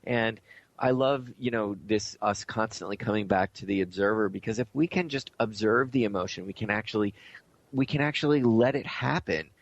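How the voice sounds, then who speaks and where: A man's voice: fairly low pitch, moderate pace, no echo. A man hosting a conversational spiritual talk show, speaking in an informal, earnest and reflective way. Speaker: radio show host. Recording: dull lo-fi audio.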